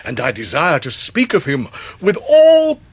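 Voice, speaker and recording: An English actor in a period radio drama, playing a pompous, grandiloquent middle-aged gentleman who is forever in debt, with florid, deliberate delivery. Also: a little high, huge pitch swings, slightly rough, heavily acted. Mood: grandly confiding. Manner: florid, orotund, deliberate pauses.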